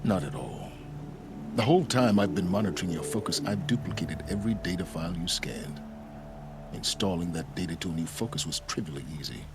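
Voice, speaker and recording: An actor playing an aloof, cunning researcher in a video game cutscene, speaking in a measured, erudite and condescending way. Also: male voice, low-pitched, lively intonation, gravelly, noisy background. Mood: smug, condescending. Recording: music behind the dialogue.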